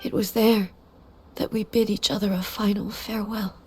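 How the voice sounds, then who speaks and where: A woman playing a solemn, grieving immortal ruler in a video game, speaking formally and softly with measured composure. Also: medium pitch, flat delivery, slight background noise, lightly acted. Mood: sorrowful. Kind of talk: dramatic video game voice acting.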